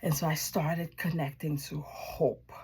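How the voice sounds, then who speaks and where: A woman from Haiti gives a personal testimony as a wellness practitioner. Her voice sits low and moves slowly. She speaks reflectively, choosing her words with deliberate pauses. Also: steady tone, mellow, husky. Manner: reflective, deliberate, pausing mid-sentence.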